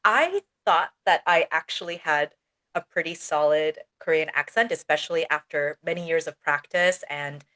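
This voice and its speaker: A woman who is a native English speaker, co-hosting a casual podcast chat as an ESL teacher, speaking in a relaxed way with many fillers. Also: low-pitched, moderate pace.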